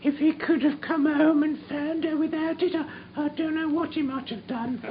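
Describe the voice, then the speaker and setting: A woman's voice: high voice, fairly even intonation, moderate pace, close to an everyday voice. An actress playing a feeble, anxious old working-class woman in a radio drama, rambling on in a wheedling, deferential way. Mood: grateful, fretting. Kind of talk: British radio drama acting. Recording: dull radio drama recording with noise.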